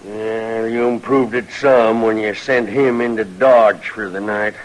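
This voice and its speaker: An American actor playing a grumbling, sardonic rancher prisoner in an old-time radio western. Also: male voice, on the low side, steady tone, a little slow, lightly acted, low-quality recording.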